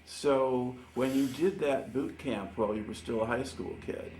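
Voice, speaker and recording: A man's voice: mid-range voice, a little slow, a little mellow, a little hiss or hum, poor audio. A man with an American accent conducting an informal oral history interview.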